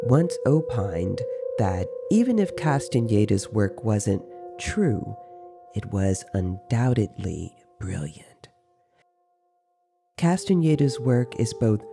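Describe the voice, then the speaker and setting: A man's voice: mid-range voice, gravelly. A man narrating in a dramatized, literary storytelling style.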